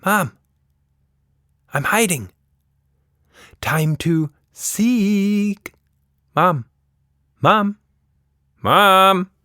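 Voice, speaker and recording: Man playing a high-pitched, insistent little girl. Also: animated, drawn-out words, long pauses, pushed and bright, no room sound, clean studio sound, exaggerated voice. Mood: impatient, playful. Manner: insistent, pleading for attention. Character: strong-willed, bored, articulate six-year-old girl.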